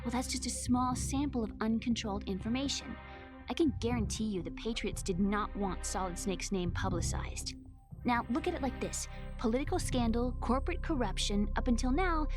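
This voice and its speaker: Actress as higher-voiced young woman programmer, game music behind. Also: expressive, normal speed, close to an everyday voice. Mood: matter-of-fact, explanatory. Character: young woman computer programmer, bitter yet matter-of-fact. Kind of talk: scripted video game cutscene acting.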